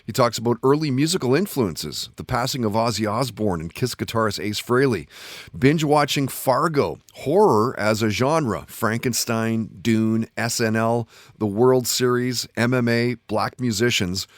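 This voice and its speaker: A man's voice: mid-range voice, unhurried, no echo, clean studio sound. A man hosting a podcast, delivering scripted narration that introduces a guest. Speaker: podcast host.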